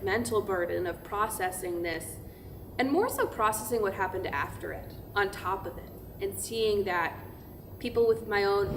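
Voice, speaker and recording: Female pastor, weary and incredulous, conversational and halting, played by an actress, mid-pitched, faint noise of a live staged reading. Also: a bit bright, close to an everyday voice. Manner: hesitant, filler-laden, candid. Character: female pastor recounting seminary harassment, weary, candid, incredulous. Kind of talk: verbatim theatre monologue, conversational and halting. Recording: noisy room recording of staged reading.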